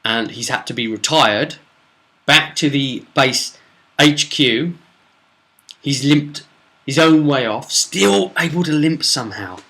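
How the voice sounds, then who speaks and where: Bright-voiced man recounting a tabletop game. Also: medium pitch, lively intonation, slow pace, low-quality recording. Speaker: game master. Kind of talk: casual recap narration of a tabletop role-playing session.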